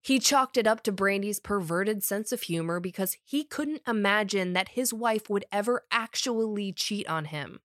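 A woman's voice: mid-range voice, animated, normal speed, hardly any pauses, fairly bright tone, dry recording, studio quality. A woman podcast host with a summer cold, reading a scripted true crime story in a wry, conversational way.

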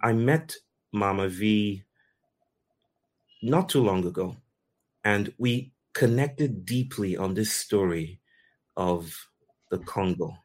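A man from Nigeria with a very low voice hosts a talk. He opens it by telling a story in slow, poetic phrases and leaves pauses between them. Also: expressive. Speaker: host.

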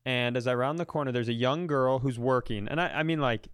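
Animated, smooth-voiced man bantering. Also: mid-range voice, brisk, no echo, studio quality. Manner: animated, profane, exaggerated incredulity. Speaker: comedy podcast host. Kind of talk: casual comedic storytelling banter.

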